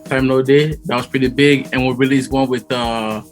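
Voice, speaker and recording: Friendly male musician from Togo, mid pitch, slangy and easygoing, light music under him. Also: steady tone, normal speed. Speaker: musician guest. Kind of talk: casual interview.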